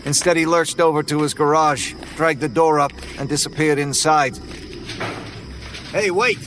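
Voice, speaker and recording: A man telling a first-person horror story in a tense, measured way. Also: fairly high pitch, little pitch movement, background noise. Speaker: narrator.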